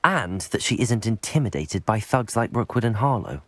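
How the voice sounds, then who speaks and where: A man's voice: lowish voice, very animated, moderate pace, dry recording. A man playing a polite, guarded student wizard in a video game, understated in manner. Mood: reassuring.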